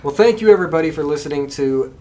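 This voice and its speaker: A man's voice: on the high side, expressive, fast, dark tone. A young man hosting a wrestling podcast, talking in a casual, conversational way. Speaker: podcast host. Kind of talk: casual chat about pro wrestling.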